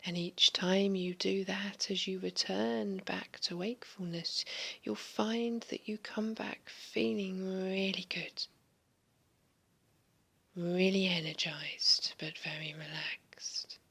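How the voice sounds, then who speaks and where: A woman guiding a hypnosis session in a slow, soothing, largely whispered voice, giving relaxation suggestions. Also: medium pitch, fairly even intonation, a bit bright. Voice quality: breathy. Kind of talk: guided hypnosis recording.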